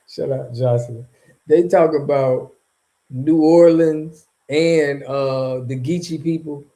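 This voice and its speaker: A man hosting a casual livestream, reacting to viewer comments in an animated, slangy and mocking way. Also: medium pitch, poor audio. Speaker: streamer reacting to viewer chat.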